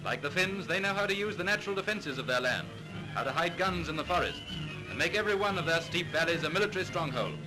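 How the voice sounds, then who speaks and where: A man giving brisk, emphatic wartime newsreel commentary. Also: on the high side, expressive, low-quality recording. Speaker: newsreel narrator. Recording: vintage newsreel soundtrack with music.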